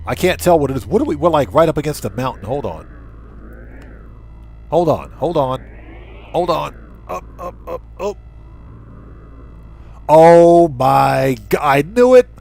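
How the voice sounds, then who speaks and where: A man's voice: fairly high pitch, animated, measured pace, creaky, pauses often, a little mellow. A man hosting a casual gameplay livestream, commenting in exclamations and emphatically repeated short phrases. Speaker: livestream host.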